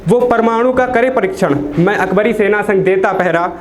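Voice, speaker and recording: A teenage man from India reciting poems at an open mic, declaiming each line and dropping low on a repeated refrain. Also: moderate pace. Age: teens. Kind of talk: reciting Hindi poetry. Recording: echoey room with faint noise.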